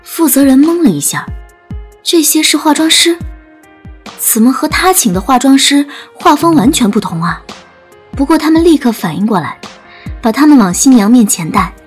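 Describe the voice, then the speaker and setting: A woman's voice: sits high, lively intonation, moderate pace. A woman narrating a scripted dramatic audiobook story. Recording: music bed under narration.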